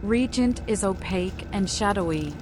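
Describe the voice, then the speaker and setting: A woman's voice: medium pitch, moderate pace, natural voice. A woman voicing a mad young female vampire who speaks theatrically in cryptic riddles, as a video game protagonist. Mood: cryptic, probing. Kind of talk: fan-voiced video game dialogue. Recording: loud background music.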